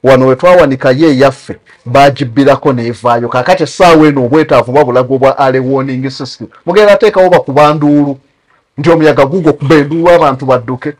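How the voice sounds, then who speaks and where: A man's voice: mid-range voice, fast, poor audio. A man with a Ugandan accent giving critical talk show commentary, mixing English terms into his speech.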